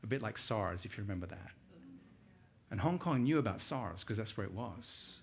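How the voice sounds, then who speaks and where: A man's voice: lowish voice, expressive, normal speed, gravelly. British man giving an informal talk. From United Kingdom, born in Uganda. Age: middle-aged. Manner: conversational, anecdotal, puts questions to listeners. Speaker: guest conference speaker. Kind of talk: informal conference presentation to a live audience.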